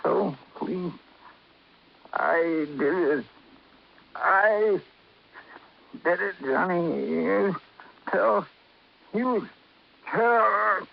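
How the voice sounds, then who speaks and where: A dying old man, dragging out each word in a creaky voice. He is weak and halting, repeating himself as he confesses. It is vintage radio drama with a muffled, noisy sound. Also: sits high, full character voice. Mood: desperate, insistent. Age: older. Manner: halting, fragmented, repeating words. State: weak, laboured, failing. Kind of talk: 1950s radio drama acting.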